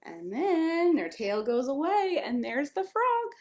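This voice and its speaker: American woman with lively, animated storytime delivery. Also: sits high, slow. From United States (Maryland). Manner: simple child-directed phrasing, asking listeners questions. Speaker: pastor reading a children's story. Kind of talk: children's storytime read-aloud with chatty asides.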